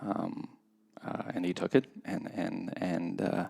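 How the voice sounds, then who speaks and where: A man's voice: low-pitched, level tone, creaky, a little mellow. A man in his thirties from Russia, a podcast guest speaking reflectively and unhurriedly in a casual interview. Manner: reflective, unhurried, hesitant with many pauses. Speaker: podcast guest, skydiving competitor.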